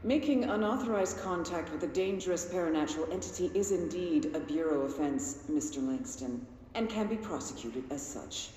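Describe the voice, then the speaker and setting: A man's voice: a little high, expressive, normal speed, hardly any pauses, a little mellow, close to an everyday voice. A man playing a curt, authoritative government interrogator in a video game. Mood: cold, curt. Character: stern male government bureau interrogator, curt and menacing. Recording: echoey hall with long reverb, faint noise.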